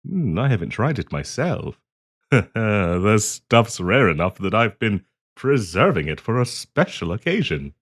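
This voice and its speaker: A man's voice: fairly low pitch, big pitch swings, measured pace, exaggerated voice. A man playing a polite, hesitant half-dragon diplomat in a fantasy roleplay audio, genteel and eager to please as he hosts the listener. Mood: gently explaining. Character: polite, flustered half-dragon diplomat hosting tea in his cave.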